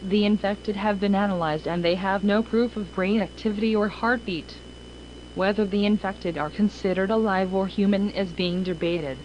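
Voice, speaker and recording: A woman delivers a scripted emergency alert in a formal, measured manner. Her mid-pitched voice is impersonal and official. The recording is dull and lo-fi, with faint noise underneath. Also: steady tone. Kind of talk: scripted emergency broadcast warning.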